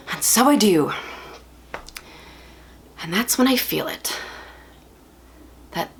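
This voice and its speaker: A woman performing a dramatic monologue as a lovelorn young bookworm, confessional and self-deprecating, speaking with earnest emphasis. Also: mid-range voice. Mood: excited, driven. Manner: earnest, emphatic, halting with feeling.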